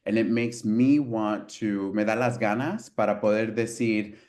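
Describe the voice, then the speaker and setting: Earnest low-voiced man from Puerto Rico. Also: steady tone, a little mellow. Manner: earnest, heartfelt, halting restarts. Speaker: podcast co-host. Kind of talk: casual podcast conversation.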